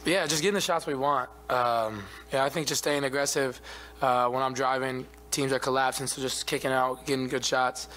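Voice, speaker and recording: A man's voice: medium pitch, moderate pace, a little hiss or hum. A man in his twenties, a basketball player, answering questions at a postgame press conference with many fillers, speaking into a table mic in an arena press room. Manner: soft-spoken, even, many fillers. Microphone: table mic in front of him.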